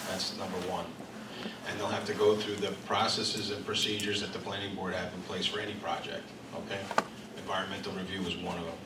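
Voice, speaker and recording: Man, mayor chairing a public meeting, calm and procedural, echoey hall, heard from a distance. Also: on the low side. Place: village meeting room. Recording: echoey meeting hall, far from mic, noisy.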